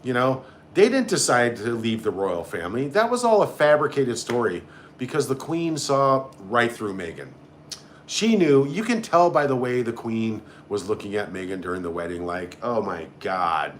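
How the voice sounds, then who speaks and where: A man's voice: medium pitch, big pitch swings, normal speed, slight background noise. A man hosting a casual live stream, reading out comments and reacting in a blunt, sarcastic, sweary way. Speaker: livestream host.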